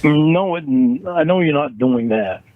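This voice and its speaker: A man in a recorded phone argument, talking in a halting, defensive way and repeating words as he restarts. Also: fairly high pitch, lively intonation, a little hiss or hum. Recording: tinny phone line recording.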